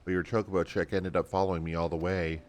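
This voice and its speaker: A man's voice: deep voice, little pitch movement, fast talker, lightly acted. A man voicing a polite, worried young girl from a fantasy game, reading her lines in a simple, earnest way. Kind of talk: game dialogue read aloud.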